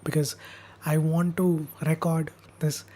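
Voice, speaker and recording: Husky-voiced woman tutor, losing her voice. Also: low-pitched, level tone, slow, warm tone. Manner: chatty, coaxing viewers to answer in chat. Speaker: online tutor. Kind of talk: upbeat exam revision class.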